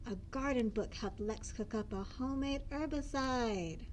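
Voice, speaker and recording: Slow, high-voiced woman reading a storybook. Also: darkish tone, slight background noise. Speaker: children's librarian reading at story time. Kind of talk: expressive storybook narration for children.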